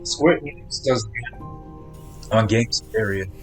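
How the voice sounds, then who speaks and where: A man's voice: fairly low pitch, slow. A man livestreaming a video game, chatting playfully and casually straight to his viewers. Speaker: game streamer. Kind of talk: casual livestream chat while playing. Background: faint game music.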